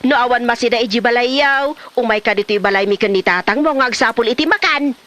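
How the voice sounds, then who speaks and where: A woman acting a sharp-tongued, sermonizing mother in an Ilocano comedy drama, with an Ilocano accent. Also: a little high, fast, close to an everyday voice. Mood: scolding. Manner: sermonizing, indignant. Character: sharp-tongued mother scolding her lazy grown son.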